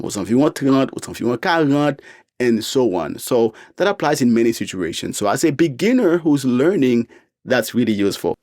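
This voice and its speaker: A man's voice: mid-range voice, a little mellow, no room sound. A man teaching a beginner language lesson in an upbeat, encouraging way, spelling out each phrase carefully. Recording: dry, faint music behind.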